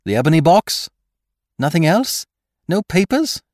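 A man voicing a triumphant, mocking gentleman thief in an audiobook, theatrical and commanding, firing off questions and taunts. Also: on the high side, very animated, a little slow, no room sound, clean studio sound, voice pushed up. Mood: brisk, scheming. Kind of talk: dramatised audiobook character voice.

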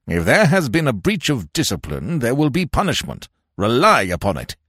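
A man voicing a stern, fiery old British colonel and prison governor in an audiobook, brusque and husky. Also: medium pitch, huge pitch swings, moderate pace, a bit bright, dry recording, clean studio sound, voice pushed up, full character voice. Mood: threatening. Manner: brusque, clipped military authority.

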